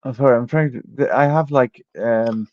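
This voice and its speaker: Halting man on a dull, dry line. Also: mid-range voice, no echo. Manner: halting, profane, many restarts. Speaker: podcast co-host. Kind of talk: casual reaction chat.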